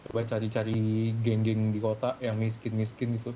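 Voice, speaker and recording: Blunt young Jakarta man on rumbly mic. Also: monotone, fairly quick, warm tone, poor audio. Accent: Indonesian, Jakarta colloquial. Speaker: GTA roleplay gamer in a group meeting. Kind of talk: casual group chat in an online game. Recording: gaming mic with faint low rumble.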